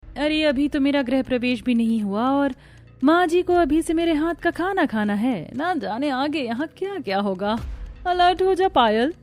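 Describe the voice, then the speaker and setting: Casting: woman playing scheming young cartoon bride, sly asides, higher-pitched, music behind. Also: expressive, moderate pace, a little mellow. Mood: sly grumbling. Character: scheming young new bride, secretly lazy. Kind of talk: Hindi cartoon story dialogue.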